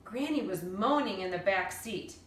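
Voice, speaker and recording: Woman, bright voice, dramatic children's book narration, emphatic delivery, echoey distant recording. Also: mid-range voice, normal speed. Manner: animated, dramatic storytelling with emphatic words. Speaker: teacher reading aloud. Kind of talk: expressive children's novel read-aloud. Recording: roomy echo, distant mic.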